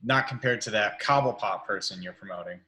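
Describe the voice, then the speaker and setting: A man playing a firm, principled billionaire businessman in a measured, confrontational way at an amateur table read. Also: fairly low pitch, bright, forceful tone. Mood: firm, challenging.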